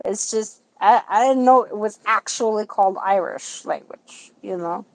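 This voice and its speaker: A woman's voice: mid-range voice, normal speed, dry recording, rough recording. A young woman chatting casually and eagerly on a livestream, often laughing. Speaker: livestream co-host. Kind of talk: casual live chat.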